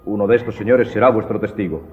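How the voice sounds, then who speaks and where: An actor playing a stern, honour-bound leader of a secret club, formal and commanding, in a radio drama. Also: male voice, lowish voice, fast, natural voice. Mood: formal challenge. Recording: muffled phone-like sound, long reverb.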